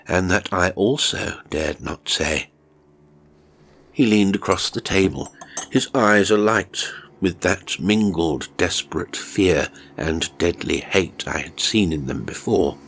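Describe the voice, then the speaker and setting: A man's voice: low voice, expressive, takes it slowly, vocal fry, a bit bright. A man narrating a first-person horror story in a dramatic audiobook style.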